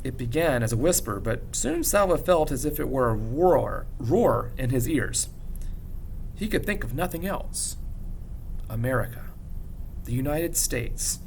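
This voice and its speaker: A man reads a story aloud like a teacher, in expressive narration with measured, dramatic pauses and emphasis. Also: medium pitch, creaky, slight background noise, rough recording. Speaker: teacher reading a novel aloud. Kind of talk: expressive audiobook-style narration.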